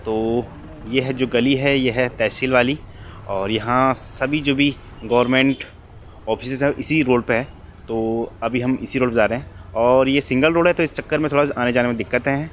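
A man's voice: mid-range voice, steady tone, moderate pace. Chatty young man vlogging from a motorbike. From Uttarakhand, India. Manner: chatty, addresses viewers as guys. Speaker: motovlogger. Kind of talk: casual vlog narration while riding. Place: outdoors on a motorbike on hill roads. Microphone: helmet or body camera mic. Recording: noisy outdoor motorbike recording. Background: engine and traffic noise.

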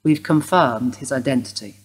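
A woman acting in a radio play as a stern police detective, formal, clipped and insistent, with a husky voice. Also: a bass voice, moderate pace, low-quality recording. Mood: formal, probing. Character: stern, probing female police detective inspector.

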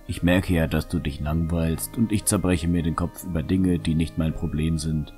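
A man as a low-voiced, grumbling loner. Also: fairly even intonation, normal speed, mellow, faint music behind. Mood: softer, musing. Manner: grumbling, stubborn, self-justifying. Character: gruff, hard-hearted male wanderer confiding in his horse. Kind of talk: fan audio drama monologue.

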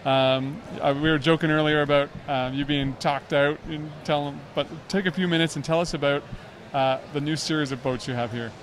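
A quick-talking man in his thirties interviews a vendor at a trade show booth, prompting him with brisk, friendly questions. His voice sits a bit high, and the handheld mic picks up faint murmur from the expo hall around him. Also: fairly even intonation, slight background noise. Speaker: interviewer, publisher.

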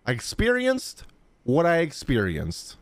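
Sassy, animated male game streamer. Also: a little high, unhurried, no echo. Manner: sassy, slangy, playful. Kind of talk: casual live reaction commentary. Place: indoor streaming room. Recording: game music behind the voice.

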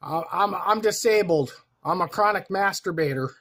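A man's voice: sits high, moderate pace, a bit bright. A man talking casually and mock-earnestly as he makes a joking prank phone call. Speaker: prank caller.

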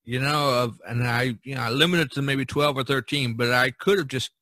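A man's voice: medium pitch, steady tone, moderate pace, bright, forceful tone. A man hosting a casual podcast, giving advice in a rambling, folksy way full of "you know". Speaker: podcast host and painter. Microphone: video call recording.

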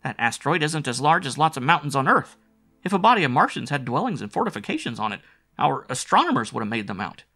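A shrewd Japanese space crewman who takes charge, acted out by a man reading a story. He is brisk and grim, swinging widely in pitch with a forceful, creaky edge, coolly menacing as he gives orders. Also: big pitch swings, soft background music, full character voice. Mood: brisk questioning. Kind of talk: audiobook character voice.

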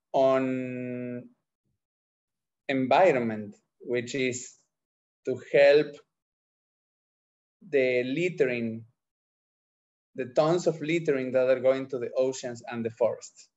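A middle-aged man with an Argentine accent and Spanish as his first language, answering interview questions haltingly as he searches for words. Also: mid-range voice, fairly even intonation, darkish tone. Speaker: entrepreneur, podcast guest. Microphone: video call microphone. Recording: dull, lo-fi video call.

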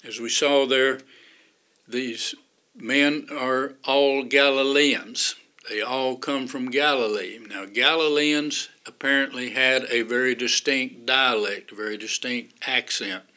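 Slow older Texan man teaching. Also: mid-range voice, fairly even intonation, bright, forceful tone. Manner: slow, deliberate teaching with pauses. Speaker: Sunday school teacher. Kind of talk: Bible lesson to camera. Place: room with bookshelves behind him.

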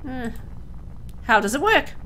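A woman's voice: high-pitched, sing-song, measured pace, pushed and bright, a little hiss or hum, voice pushed up, exaggerated voice. A woman voicing a naive, anxious girl in a horror game, questioning and unsure. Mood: nervous, unsure.

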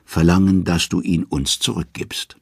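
Man as earnest, husky divine envoy. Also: deep voice, fairly even intonation, moderate pace, no echo, clean studio sound, exaggerated voice. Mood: urgent. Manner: urgent, pleading, deferential. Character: earnest young male god pleading as envoy.

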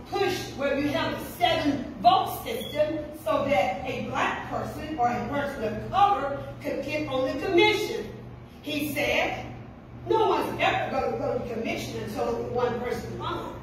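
A middle-aged woman with a Southern US accent gives an impassioned, colloquial campaign speech as a political candidate. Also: sits high. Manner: impassioned, emphatic, colloquial public speaking. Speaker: political candidate, town councilwoman. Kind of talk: campaign speech at a community gathering. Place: medium-sized banquet room, indoors. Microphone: camera a few metres away at a dining table. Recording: roomy, distant, noisy phone recording from audience.

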